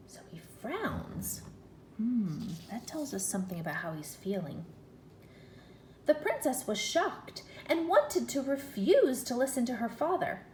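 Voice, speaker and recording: A woman teacher reading a children's story aloud in an expressive, deliberate, questioning way. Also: medium pitch. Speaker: first-grade teacher. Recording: echoey room with faint noise.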